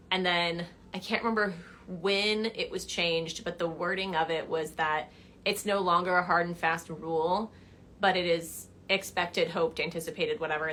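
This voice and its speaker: A young woman answering viewer questions in a casual livestream chat from her living room couch, animated and chatty. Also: fairly low pitch, a little hiss or hum. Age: young adult. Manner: chatty and animated, gestures a lot, laughs while telling stories. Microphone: phone camera at arm's length.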